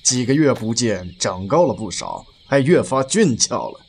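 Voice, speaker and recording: A man's voice: mid-range voice, big pitch swings, moderate pace, background noise. A man playing a fond but scolding senior of a household in an audio drama. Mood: fond, informative.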